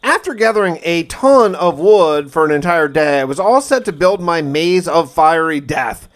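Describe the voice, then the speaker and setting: Male gamer-narrator, slightly high voice, diary-style survival recap, casual yet dramatic storytelling with dry asides. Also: animated, normal speed.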